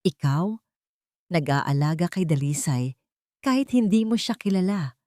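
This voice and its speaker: A woman's voice: lowish voice, expressive, unhurried, mellow, dry recording, studio quality. A woman playing a weary, remorseful man who was once rich, speaking in an earnest, solemn, confessional way in an audio drama.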